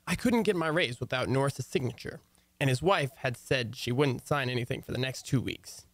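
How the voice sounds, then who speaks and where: A wry, put-upon husband acted by a man in an old-time radio comedy. His medium-pitched voice is dryly sardonic, in a close studio sound with little room. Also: normal speed, no echo, close to an everyday voice. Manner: dry, sardonic.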